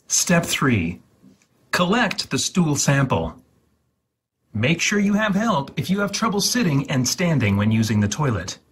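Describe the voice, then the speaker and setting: A man reading an instruction guide aloud in a mock-serious, theatrical, deliberate way, with a husky voice. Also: a little high, sing-song. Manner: theatrical, deliberate, repeats words for emphasis.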